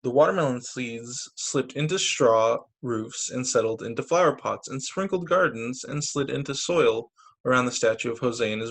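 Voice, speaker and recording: A man reading picture books aloud to children as a teacher, expressive, with brief casual asides. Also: medium pitch. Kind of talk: animated children's story reading. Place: remote video story time.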